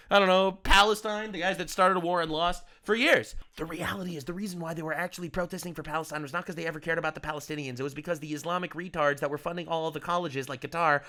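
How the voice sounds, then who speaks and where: A man's voice: sits high, pushed and bright. A man hosting a political reaction channel, ranting crudely and mockingly, sometimes slipping into put-on voices. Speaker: commentary channel host.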